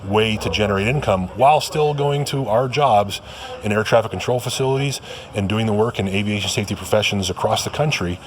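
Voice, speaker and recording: A man's voice: lowish voice, brisk. A man who works as an air traffic controller, speaking worriedly in a news clip. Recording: clip with crowd behind.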